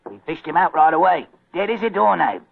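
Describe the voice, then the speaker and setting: An actor playing an excitable, blunt working-class English police sergeant in a 1940s radio drama, colloquial and deferential. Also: male voice, on the high side, brisk, vocal fry, breathy edge, heavily acted. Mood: breathless, reporting. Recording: old lo-fi 1940s radio recording.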